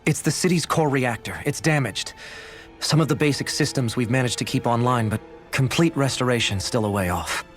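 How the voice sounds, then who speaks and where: An actor playing a practical, worried technician in a video game, speaking in an urgent, matter-of-fact way. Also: male voice, medium pitch, brisk, faint music behind, lightly acted.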